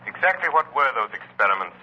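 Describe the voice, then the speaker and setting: An actor playing an unseen, sinister, commanding avenger in a 1930s radio drama, ominously polite, through a filtered effect. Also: male voice, mid-range voice, big pitch swings, quick pace. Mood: probing. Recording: muffled, hissy 1930s radio broadcast.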